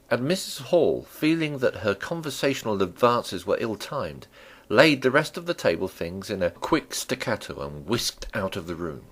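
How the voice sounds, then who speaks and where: A man performs dramatic audiobook narration in a fairly low voice. His pitch moves widely, and his phrasing is theatrical and deliberate. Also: fairly bright tone.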